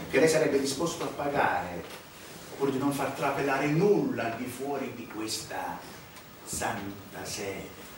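An actor playing a sinister, sleazy clergyman in a theatrical monologue, insinuating, with rhetorical questions and dramatic pauses. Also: medium pitch, far from the mic, close to an everyday voice. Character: sinister, sleazy, menacing clergyman preaching about power and corruption. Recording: roomy, echoey stage recording from afar, noisy.

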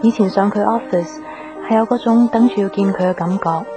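An actress playing a wistful young woman in a radio drama, reflective and soft in manner. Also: medium pitch, a little fast, close to an everyday voice. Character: wistful young woman still attached to old love. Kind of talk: Cantonese radio drama narration and dialogue. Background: background music.

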